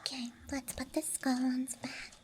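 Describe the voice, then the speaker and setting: A woman softly whispering an ASMR show-and-tell in a hushed, unhurried murmur, with trailing phrases. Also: sits high, monotone. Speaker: ASMR host. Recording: clear background noise, night ambience. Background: night campground ambience and clicking of handled objects.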